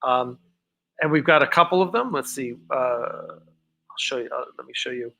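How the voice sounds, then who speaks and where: A man hosting a casual, unscripted livestream, talking in a halting, rambling way and often interrupting himself. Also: medium pitch, vocal fry, pushed and bright, low-quality recording. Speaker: livestream host.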